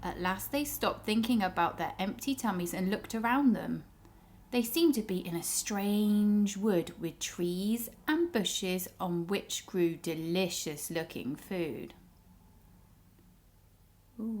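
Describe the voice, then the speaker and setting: A woman reading a story aloud to young children in an expressive storytelling style. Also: medium pitch, a little hiss or hum. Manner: pauses to ask the child listeners questions.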